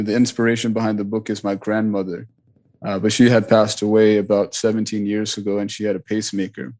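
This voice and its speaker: A man whose first language was Punjabi talks through his memories as an interview guest. His voice sits low and stays fairly flat, and he pauses, restarts and leans on fillers as he thinks aloud. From Richmond, Virginia, US. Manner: thoughtful, with frequent fillers and restarts. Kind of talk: reflective interview talk.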